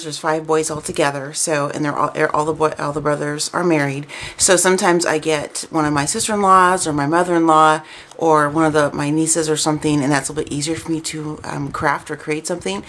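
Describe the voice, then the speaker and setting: A woman in her thirties or forties, hoarse and stuffy with a cold, chatting casually and rambling about crafts at home. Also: low-pitched. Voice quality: raspy. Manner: chatty, rambling, lots of "um" fillers. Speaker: crafting vlogger. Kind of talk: casual chat to camera.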